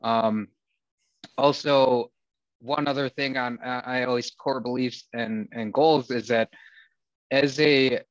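A man's voice: mid-range voice, fairly even intonation, slow, pauses often, no room sound. A man with a Wisconsin accent, speaking as a guest in candid talk with a sarcastic, dry wit. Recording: dull lo-fi sound, dry.

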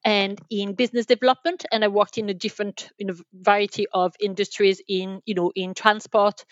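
A woman with a French accent answers interview questions conversationally. Her voice sits at an average pitch, and the sound is dull and very dry, with little of the room in it. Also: little pitch movement, a little fast, no room sound. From France. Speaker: podcast guest, telecom sales manager.

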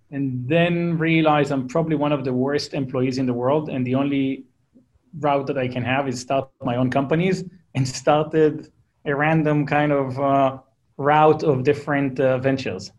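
A man's voice: medium pitch, steady tone, measured pace, dull audio. A man in his forties from Israel with an Israeli accent, a founder being interviewed, talking casually and repeating words as he restarts. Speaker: guest, company founder.

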